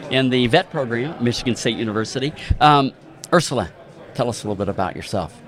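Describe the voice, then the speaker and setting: A man's voice: medium pitch, fast. A man hosting interviews, easygoing and casual, with brief responses and introductions. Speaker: interviewer host.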